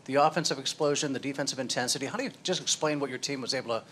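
Male sports reporter, halting questions. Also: mid-range voice, fairly bright tone, slight background noise. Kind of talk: asking questions at press conference.

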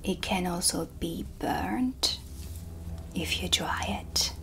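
A dark witch, acted by a woman, whispers in a fairly low voice. She speaks extremely slowly and deliberately, with eerie, quiet menace and calm command, as in an immersive ASMR roleplay. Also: vocal fry, heavily acted. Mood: calm, mysterious. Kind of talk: soft-spoken ASMR roleplay. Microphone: close microphone.

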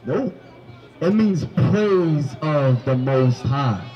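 A young man preaching on a city sidewalk into a handheld microphone, commanding and questioning his listeners, often rising to shouts. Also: on the high side, expressive, very slow, muffled recording, crowd noise. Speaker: street preacher. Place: city sidewalk by a food cart.

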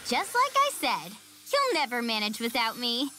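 A woman's voice: fairly high pitch, wildly swooping pitch, moderate pace, heavy background noise. A woman voicing a proud, easily hurt young girl in a children's cartoon dub, with a dramatic, self-assured way of telling things, over music. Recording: music behind the voice.

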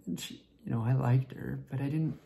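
A man performing a dramatic monologue as a tipsy, lovesick young man confessing to a friend, halting and repetitive, with a creaky voice that often drops toward a whisper. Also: medium pitch, dark tone, close to an everyday voice. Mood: wistful, rueful. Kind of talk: dramatic stage monologue rehearsal.

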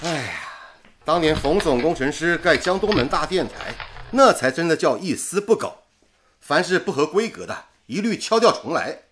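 A man's voice: on the high side, moderate pace, slight background noise. An actor playing a dedicated young male radio engineer in a period radio drama, speaking earnestly and steadily. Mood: instructive. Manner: earnest, steady, gently persuasive. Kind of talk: 1930s-set radio drama acting.

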